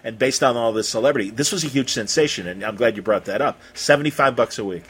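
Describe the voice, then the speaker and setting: A man's voice: mid-range voice, quick pace, husky. A man hosting a casual interview, talking in an effusive, rambling way and stumbling over his phrases. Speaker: interview host.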